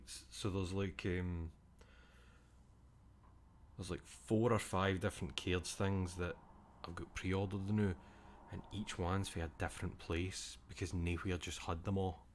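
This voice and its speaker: Deep-voiced Scottish man chatting casually. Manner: rambling, swears casually, reads chat aloud. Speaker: streamer. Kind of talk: casual stream chat.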